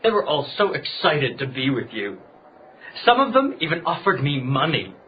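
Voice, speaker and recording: Obsessive protective son, resentful, intense, wide pitch swings, muffled radio drama audio. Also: male voice, sing-song, moderate pace, a little hiss or hum. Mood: anguished, obsessive. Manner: intense, aggrieved.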